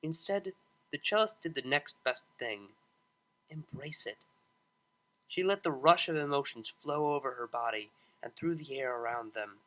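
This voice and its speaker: A man narrating a piece of fan fiction in an expressive storytelling style. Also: mid-range voice, normal speed, low-quality recording. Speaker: audiobook narrator.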